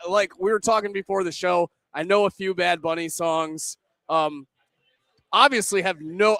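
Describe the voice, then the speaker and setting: A man's voice: sits high, pushed and bright, no room sound. A young man co-hosting a sports pre-game show from a pizza pub, rambling with self-deprecating banter. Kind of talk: casual sports talk.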